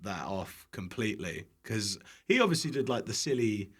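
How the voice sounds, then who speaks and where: A man's voice: lowish voice, big pitch swings, normal speed, breathy edge. A British man chatting casually as a podcast guest, informal, self-deprecating and happy to swear. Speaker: podcast guest, online cooking content creator. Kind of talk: casual chat.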